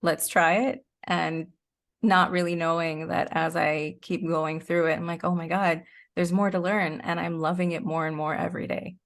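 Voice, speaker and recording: A woman's voice: lowish voice, fairly even intonation, normal speed. A woman speaking as a guest in a casual interview, candid and self-deprecating. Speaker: interview guest, actor and writer.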